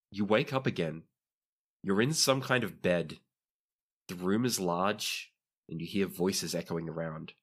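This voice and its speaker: Male game master, low-pitched, for narrating tabletop roleplaying scenes. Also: fairly bright tone.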